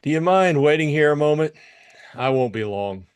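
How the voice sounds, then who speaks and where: A man's voice: a little high, animated, moderate pace, clean tone, pitched above the performer's own voice, close to an everyday voice. A man voicing a patient but weary hard-boiled detective in an audiobook, evasive and brushing people off. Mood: brisk, patient.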